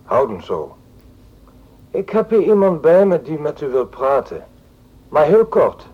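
An actor playing a menacing, controlled male kidnapper on the telephone in a radio drama, commanding and deliberate. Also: on the high side, sing-song, slightly rough, heavily acted. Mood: cold, commanding. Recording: dull, lo-fi telephone scene.

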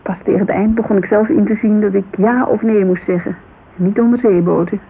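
An actress playing a grieving, self-reproachful woman who confides haltingly in a naturalistic radio drama. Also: medium pitch, steady tone, creaky, lightly acted. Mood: rueful. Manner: hesitant, colloquial, confessional. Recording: muffled, hissy old radio recording.

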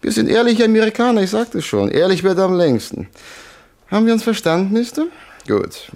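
A man's voice: high voice, sing-song, fairly quick, darkish tone. A man acting a menacing, mock-polite gangster in a German crime radio play, taunting as he threatens. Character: menacing, mocking gangster henchman leader threatening a shopkeeper.

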